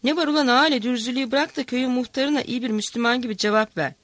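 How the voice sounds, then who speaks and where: A woman reading a novel aloud, voicing a bossy village headman who shouts at the villagers. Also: fairly high pitch, fast, no echo, lightly acted. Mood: indignant. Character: blustering male village headman.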